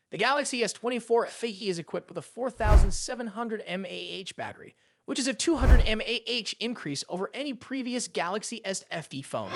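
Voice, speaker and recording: Scripted tech narrator, mid-pitched, animated intonation, polished explainer delivery, very dry recording. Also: dry recording. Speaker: tech review presenter.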